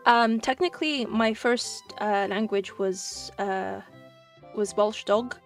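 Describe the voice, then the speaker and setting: A woman's voice: mid-range voice, takes it slowly, recorded from a distance. A woman streaming casually as a VTuber rep, bubbly and giggly, with lots of fillers and playful restarts. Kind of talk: casual live-stream chat. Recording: voice a little off-mic, faint music behind.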